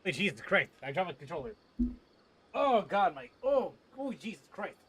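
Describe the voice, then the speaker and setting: Young male gamer, highish bright voice, casual rambling commentary, slangy, reactive. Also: very animated, moderate pace, slightly rough. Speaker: gaming streamer. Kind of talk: casual live playthrough commentary.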